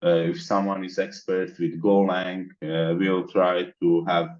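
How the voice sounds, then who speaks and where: A man from the Balkans speaks in a very deep voice, laying out his plans methodically as an interview guest. His audio is dull and lo-fi. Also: little pitch movement, a little slow, darkish tone, slight background noise. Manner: methodical, explains in long lists. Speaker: interview guest, mentorship organizer. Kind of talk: conversational interview.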